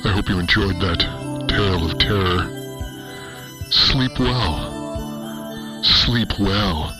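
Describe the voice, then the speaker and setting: A man's voice: deep voice, takes it slowly, music in the background. A man playing a brooding young space villain, solemnly narrating a radio-style ghost story with self-importance. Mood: solemn, spooky.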